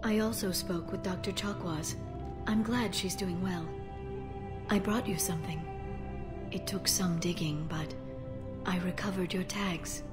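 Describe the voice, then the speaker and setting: A woman playing an earnest, soft-spoken young alien information broker in a video game, with a measured, gentle manner. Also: on the low side, steady tone, close to an everyday voice. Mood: caring. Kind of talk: video game cutscene acting. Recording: long hall reverb, game music behind.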